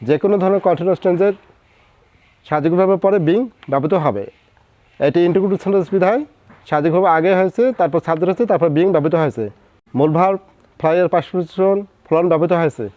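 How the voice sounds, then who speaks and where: A Bangladeshi man with a slightly high voice teaches English grammar in a methodical, scripted way. He slows down on the English example words. The recording is very dry, with a somewhat dull, lo-fi sound. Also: no echo. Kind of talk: scripted grammar lesson narration.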